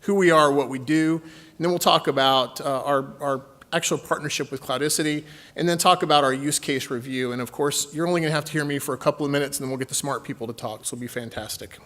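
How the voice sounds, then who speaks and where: A man with a light Texan drawl presents his company to a conference session. He speaks in a slightly high voice and keeps a loose, joking rapport with the room. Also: fast, fairly bright tone. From Texas. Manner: chatty, jokey with the audience. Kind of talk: conference talk.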